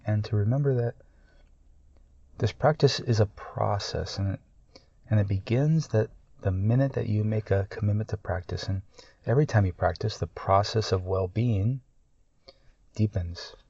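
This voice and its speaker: A man leading a guided meditation in a calm, soothing, measured way, pausing often between short phrases. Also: on the low side, vocal fry, slightly rough, no echo, muffled recording.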